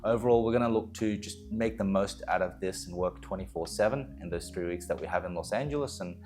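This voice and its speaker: Low-voiced man, music behind. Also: fast talker, a little mellow. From Australia. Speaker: esports coach. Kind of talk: prepared team announcement to fans.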